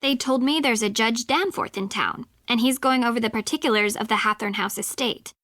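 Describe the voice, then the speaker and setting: A sardonic young sidekick in a video game. Her voice is on the high side, casual and teasing, with a sceptical edge. It is recorded very dry. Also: a little fast, bright, forceful tone, no echo. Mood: exasperated, casual. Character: sardonic, sceptical young woman, detective's wisecracking friend.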